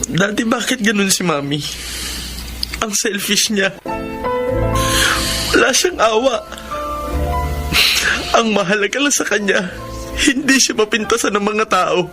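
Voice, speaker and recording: A man's voice: sits high, lively intonation, unhurried, long pauses, over music. A young man acting a hurt, resentful son in a Filipino radio drama. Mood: hurt, accusing. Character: hurt, resentful blind son confronting his mother.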